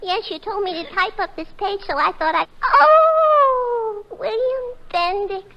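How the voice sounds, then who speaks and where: High-pitched, creaky, gushing swooning maid. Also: female voice, animated, measured pace, full character voice. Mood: starstruck. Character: swooning young apartment maid, breathlessly starstruck. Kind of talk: 1940s radio comedy acting. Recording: noisy, dull 1940s radio recording.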